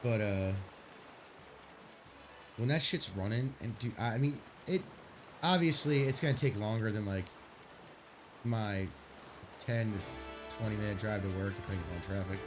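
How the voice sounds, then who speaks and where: A man's voice: on the low side, moderate pace, pauses often, over music. A man streaming a game, chatting casually and rambling, stuffy from a sinus headache. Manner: rambling, laid-back, swears casually.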